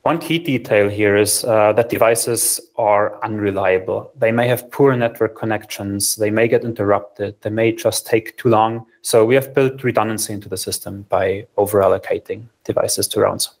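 A young man gives a technical talk from a small room over a webcam, his voice sitting fairly low. He explains in a steady, methodical way, dropping in ums as he goes. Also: normal speed, hardly any pauses. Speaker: software engineer presenting a research platform. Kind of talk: technical conference talk over video call. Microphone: video call, webcam framing.

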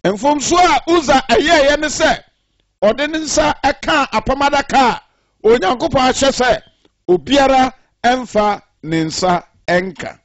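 A man with a Ghanaian accent, preaching a radio sermon and reading scripture aloud in a measured way. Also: very high-pitched, lively intonation, dry recording, highs cut off. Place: radio studio.

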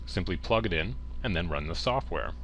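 Man narrating a tutorial with very lively pitch. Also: a little fast, dull audio, slight background noise. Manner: instructional, plain-spoken, addresses viewer directly. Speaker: tech tutorial presenter. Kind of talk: step-by-step software tutorial narration.

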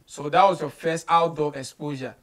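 Male interview host, Ghanaian accent, high-pitched, emphatic and animated, code-switching between English and Twi. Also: moderate pace. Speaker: radio show host. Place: small radio studio office, indoors.

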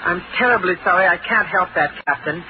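An actress playing a distraught young American bride, pleading and tremulous, in a 1940s radio drama. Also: female voice, fairly low pitch, a little fast, lightly acted. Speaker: passenger. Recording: muffled old radio broadcast with hiss.

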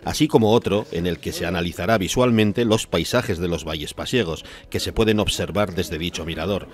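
A man's voice: low-pitched, fairly quick. A man with an accent from Spain reading a scripted news voice-over as narrator. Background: music.

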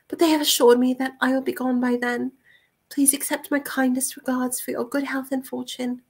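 A woman acts out a meek young mother from a storybook, her voice lifted high. She is formal and dignified, speaking as someone who has been wronged. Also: slightly rough.

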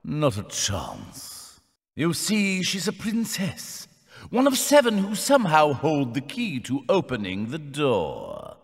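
An actor playing a scheming, gloating sorcerer vizier in a video game, theatrical, haughty and menacing. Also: male voice, fairly high pitch, huge pitch swings, takes it slowly, vocal fry, husky, in a large hall, full character voice. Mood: smug menace. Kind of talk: animated video game acting.

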